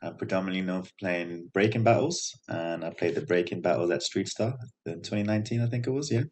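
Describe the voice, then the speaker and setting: A man from the United Kingdom speaking as a guest DJ, easygoing and relaxed. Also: low-pitched, quick pace.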